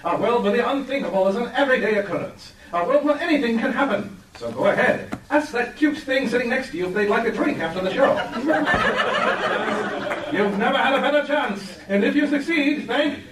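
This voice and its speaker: A man's voice: extremely high pitch, lively intonation, moderate pace, a bit bright, a little hiss or hum. A man playing a vain, deluded adventurer hero in a live comedic radio play, pompous and theatrical. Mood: grandiose. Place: live convention stage. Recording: echoey live stage, distant mic.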